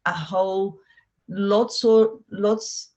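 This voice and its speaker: A woman's voice: mid-range voice, fairly even intonation, extremely slow, a little mellow, highs cut off. A middle-aged woman from Argentina with an Argentine Spanish accent, a real estate agent talking in a conversational interview, chatty and upbeat with lots of fillers and restarts.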